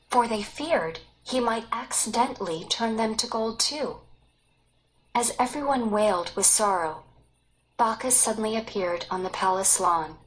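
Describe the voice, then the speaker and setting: Slow, expressive woman narrating. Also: mid-range voice, low-quality recording. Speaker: story narrator. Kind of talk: scripted read-aloud storytelling.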